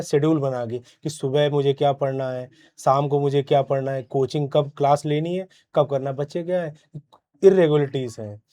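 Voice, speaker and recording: A young man answers interview questions casually. His voice is mellow and relaxed, pitched a little high, and he explains things in a plain-spoken way. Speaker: interview guest, successful exam candidate.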